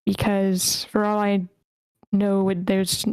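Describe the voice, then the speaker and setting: A woman with a mid-pitched voice hosts an online script read. She gives casual, self-deprecating feedback in plain, unpolished language with some swearing. The sound is dry, with very little room in it. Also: flat delivery, takes it slowly, darkish tone, smooth, no room sound. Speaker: host of an online screenplay table read. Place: online group meeting.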